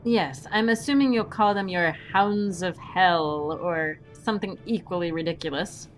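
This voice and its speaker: A woman's voice: fairly low pitch, measured pace, soft background music, natural voice. A woman acting a practical swashbuckling heroine in an audio drama, with a dry, sardonic manner.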